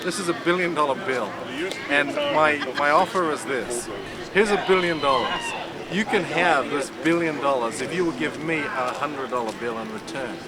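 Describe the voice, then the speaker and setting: A man's voice: a little high, normal speed. New Zealand man speaking over a crowd. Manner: earnest, explanatory, with props. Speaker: political campaigner speaking to camera. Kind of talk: impromptu outdoor speech. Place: outdoors at a busy event. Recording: loud crowd noise behind.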